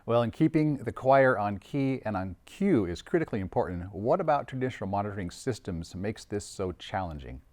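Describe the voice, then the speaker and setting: A man's voice: on the low side, lively intonation, a little fast, few pauses, darkish tone. A man hosting a scripted promotional interview, presenting as an audio and video designer.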